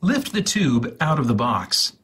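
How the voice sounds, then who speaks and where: A man reading an instruction guide aloud in a mock-serious, theatrical, deliberate way, with a husky voice. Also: mid-range voice, huge pitch swings, a bit bright. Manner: theatrical, deliberate, repeats words for emphasis.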